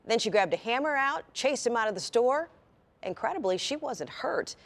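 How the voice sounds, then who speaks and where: A woman's voice: fairly high pitch, lively intonation, moderate pace, fairly bright tone. A woman with an American accent anchoring TV news, reading stories and putting questions to a guest.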